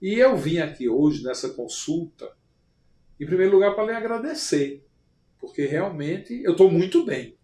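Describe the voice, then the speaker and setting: Emphatic, proud woman client as told by a man, lively pitch, roomy echoey sound. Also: moderate pace, natural voice. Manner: emphatic retelling in first person. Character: Brazilian woman client, grateful, now in charge at home. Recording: roomy echo.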